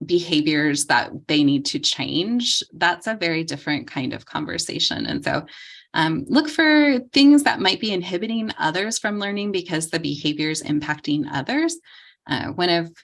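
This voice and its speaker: A woman leading a training session for volunteer tutors, explaining calmly and often pausing on "um". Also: fairly low pitch, creaky. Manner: calm, explanatory, frequent ums. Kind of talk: training lecture.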